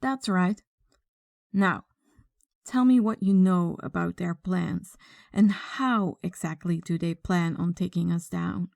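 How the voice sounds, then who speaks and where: Woman acting a slow-spoken, commanding female mafia boss. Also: fairly low pitch, creaky, darkish tone, dry recording, clean studio sound. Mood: coolly businesslike. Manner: slow, deliberate, authoritative. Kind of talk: ASMR audio roleplay acting.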